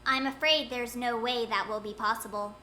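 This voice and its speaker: A woman's voice: a little high, steady tone, moderate pace, pushed and bright, a little hiss or hum. A woman voicing a stern woman negotiator who speaks in a cold, clipped and formal way, in a fan audio drama. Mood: cold anger.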